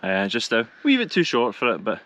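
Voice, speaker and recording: A man's voice: mid-range voice, wildly swooping pitch, fairly quick, a bit bright. A middle-aged Scottish man with an easygoing, rambling way of talking and dry humour, co-hosting a hiking vlog outdoors in the hills. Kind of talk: casual outdoor chat. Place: mountainside outdoors.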